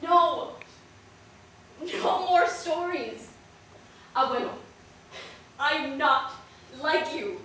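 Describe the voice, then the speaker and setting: A woman's voice: high-pitched, lively intonation, very slow, pauses often, a bit bright, exaggerated voice. A woman playing an earnest young Puerto Rican-American in a stage play, speaking dramatically and emphatically. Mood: reluctant, defiant. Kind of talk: theatrical stage acting. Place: indoor stage, recorded from far off. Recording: roomy, distant stage recording, faint noise.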